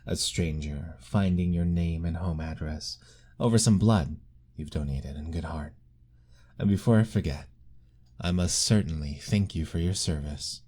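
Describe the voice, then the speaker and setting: A man voicing an ancient, lovestruck male vampire lord in an ASMR roleplay, formal and soft-spoken, pleading gently. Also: deep voice, unhurried. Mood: longing.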